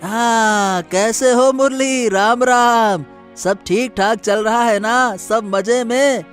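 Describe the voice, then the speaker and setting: A man's voice: extremely high pitch, slow, fairly bright tone, faint music behind, full character voice. Man acting meek, placating poor farmer. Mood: friendly. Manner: hesitant, placating. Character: poor male farmer, friendly, meek and pleading. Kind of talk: Hindi cartoon moral story acting.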